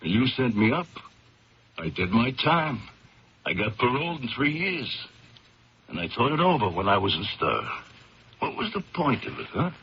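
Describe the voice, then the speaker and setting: A man's voice: medium pitch, big pitch swings, normal speed, pauses often, husky, exaggerated voice. An actor playing an earnest reformed ex-convict turned businessman, streetwise, ungrammatical and insistent, in a 1970s radio drama. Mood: defensive, insistent. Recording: muffled, noisy 1970s radio broadcast.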